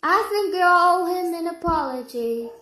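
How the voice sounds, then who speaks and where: A woman voicing an eager, naive young animatronic sidekick in a cartoon fan dub, earnest and excitable. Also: very high-pitched, a little slow, roomy sound, a little hiss or hum, full character voice, rough recording. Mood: sheepish.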